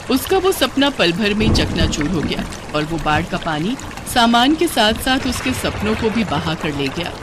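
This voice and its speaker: A woman's voice: mid-range voice, background noise. A woman narrating a scripted cartoon story.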